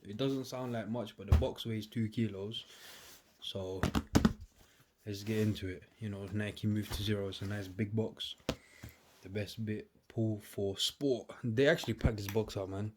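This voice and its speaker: A man's voice: fairly low pitch, fairly even intonation, unhurried. A man with a cold, sniffling as he chats casually to camera while unboxing clothes at home, laid-back and slangy. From United Kingdom. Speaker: vlogger. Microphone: camera on a tripod. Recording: clear background noise.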